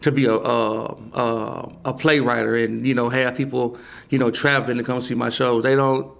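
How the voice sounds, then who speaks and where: A man's voice: medium pitch, level tone, moderate pace, creaky. A man in his forties, a playwright guest on a podcast, talking loosely and emphatically with lots of "you know" and easy laughs. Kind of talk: casual conversation.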